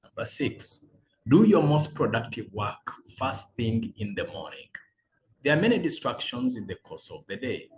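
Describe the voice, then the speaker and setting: A man, a business coach giving earnest, measured motivational advice as numbered tips. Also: medium pitch, big pitch swings. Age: 40s. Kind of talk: motivational talk read as a letter.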